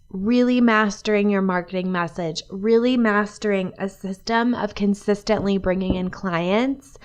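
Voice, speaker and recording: A woman hosting her own podcast, her voice hoarse from a cold, chatting in an upbeat way and laughing often. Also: mid-range voice. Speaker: podcast host, life and business coach. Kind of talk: solo podcast talk.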